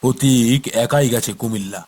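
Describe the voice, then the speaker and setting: A man voicing a grumbling, defensive villager with a husky voice in a cartoon, complaining and suspicious. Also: medium pitch, normal speed, darkish tone, natural voice. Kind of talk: cartoon character acting.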